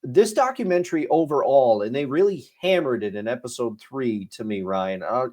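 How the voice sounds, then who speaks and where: A young man co-hosts a podcast in a relaxed, conversational tone, his voice sitting at an ordinary middle pitch. He leans into points with emphasis and laughs along with his partner. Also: moderate pace. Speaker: podcast co-host. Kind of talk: casual podcast chat and review.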